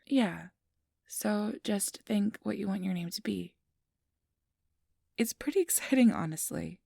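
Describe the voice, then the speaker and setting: A woman playing a friendly, easily flustered registration clerk in a soft-spoken ASMR roleplay, gentle, hesitant and reassuring. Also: medium pitch, measured pace, gravelly, slightly rough, no room sound, heavily acted. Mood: gentle, encouraging. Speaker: interviewer.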